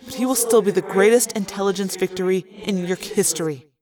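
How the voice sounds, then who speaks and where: A woman voicing a young male alien cadet who speaks quietly and wearily, full of guilt. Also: mid-range voice, brisk, a crowd in the background, natural voice. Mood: guilty. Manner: quiet, weary, subdued.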